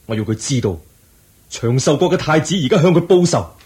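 A man's voice: fairly high pitch, expressive, fairly quick, darkish tone, lightly acted. A man acting a young exiled prince bent on avenging his father, declamatory and emotional, in a radio drama. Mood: vengeful, resolute. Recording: echoey radio drama recording.

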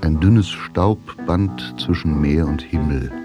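A man's voice: extremely low pitch, slow. A man delivering scripted narration for a radio play. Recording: clear music behind the voice.

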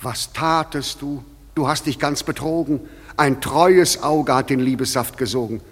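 An actor playing a commanding, stately fairy king in a radio drama, speaking measured, imperious verse. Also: medium pitch, husky, long reverb tail. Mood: stern, pleased. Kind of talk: classic stage-verse radio drama acting.

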